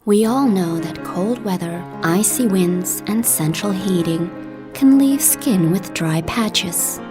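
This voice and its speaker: A woman from the Netherlands, a native Dutch speaker, reading scripted voice-reel narration in an American accent with a breathy voice. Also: medium pitch, takes it slowly, over music. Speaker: voice actor.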